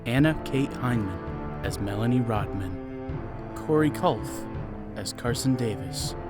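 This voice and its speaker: A man's voice: mid-range voice, animated, a little slow. A man reading a scripted credits announcement for an audio drama. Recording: loud music bed.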